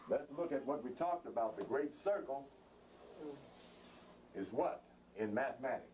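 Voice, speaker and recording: A man's voice: mid-range voice, expressive, moderate pace, muffled recording, noisy background. A man giving an interactive spiritual lecture, putting questions to his listeners. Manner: questioning the audience.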